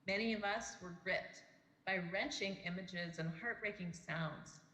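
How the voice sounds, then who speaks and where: Bright-voiced woman giving a video presentation. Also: medium pitch, unhurried. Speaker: guest speaker on video. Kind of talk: prepared presentation. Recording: echoey room with long reverb.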